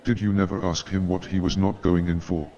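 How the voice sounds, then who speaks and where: An actor playing a weary, wry, sardonic army doctor in a staged radio play, speaking formally. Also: male voice, low voice, little pitch movement, brisk, dark tone, muffled recording, lightly acted. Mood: formal, inquisitive.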